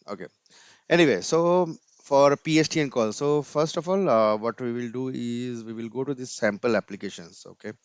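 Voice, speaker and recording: A man's voice: fairly low pitch, clean tone, no room sound. A man presenting an informal screen-share coding tutorial step by step, often tagging his sentences with "right?", on a dull computer mic.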